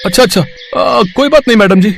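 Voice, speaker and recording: A man's voice: extremely high pitch, a little fast, creaky, fairly bright tone, slight background noise, full character voice. A man playing a humble, helpful middle-aged auto-rickshaw driver in a cartoon story, speaking deferentially and reassuringly with some hesitation. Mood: polite.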